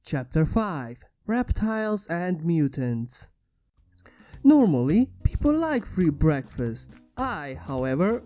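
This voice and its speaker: A man with a notably high, soft-toned voice narrates a first-person tale. His delivery is wry and theatrical, and music plays underneath the reading. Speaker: fan fiction narrator.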